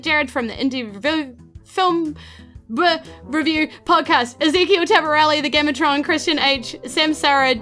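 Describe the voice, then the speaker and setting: A New Zealand woman running a tabletop game for a podcast, with a bright, slightly high voice. She chats casually and giggles often, filling her pauses with "um" and "uh". Faint music plays behind her. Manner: chatty and giggly, with many fillers. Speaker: game master. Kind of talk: podcast outro and supporter thanks.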